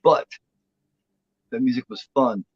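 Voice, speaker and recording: A man's voice: mid-range voice, takes it slowly, breathy edge, no echo, muffled recording. A man in his forties chatting as co-host, blunt and profane, rambling with lots of restarts. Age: 40s. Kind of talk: casual chat.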